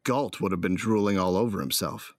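An actor playing a cocky, hot-tempered bounty hunter who narrates a space opera audio drama in a wry, colloquial way. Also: male voice, sits low, a little fast, no echo, clean studio sound, natural voice. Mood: reflective, cocky. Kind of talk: first-person scripted audio drama narration.

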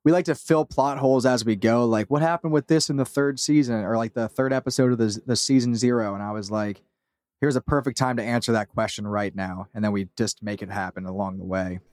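A man's voice: medium pitch, fairly even intonation, fairly quick, a little mellow, no echo, clean studio sound. A man being interviewed as a podcast creator, talking casually and self-deprecatingly, often quoting people as he goes. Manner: informal, self-deprecating, quotes people as he talks.

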